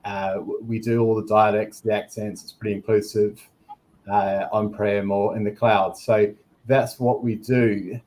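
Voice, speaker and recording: A man with a New Zealand accent, introducing himself as a guest on a video-call interview in a relaxed, explanatory way. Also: fairly low pitch. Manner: relaxed, explanatory, with some quick bursts. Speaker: guest, product director. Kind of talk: conversational self-introduction.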